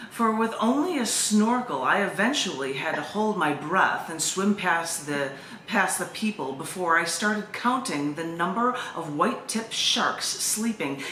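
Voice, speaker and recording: Bright-voiced woman reading poetry, echoey room. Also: on the low side. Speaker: open mic host and poet. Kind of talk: poetry reading with chatty introductions. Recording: echoey room, faint background noise.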